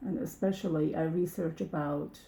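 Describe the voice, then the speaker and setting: A woman's voice: low voice, fairly even intonation, normal speed, vocal fry, warm tone, reverberant, poor audio. A woman whose first language is Turkish, talking casually to her channel's viewers, hesitant and full of ums and restarts. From Turkey. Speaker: channel host. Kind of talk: casual talk to camera.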